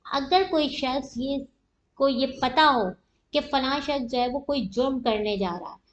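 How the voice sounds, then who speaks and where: The sound is roomy and echoing, a little muffled. A woman with a Pakistani accent speaks in a fairly high voice as a lecturer. She explains step by step in a teaching manner, posing questions and answering them herself. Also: a little slow. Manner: didactic, asks and answers own questions. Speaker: law lecturer. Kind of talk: explanatory lecture with everyday examples. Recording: echoey room, dull muffled audio.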